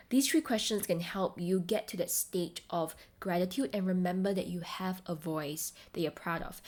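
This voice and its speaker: A woman hosting a self-help podcast, speaking to the listener directly in a deliberate, encouraging way. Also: on the low side, a little mellow. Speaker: podcast host and voice coach.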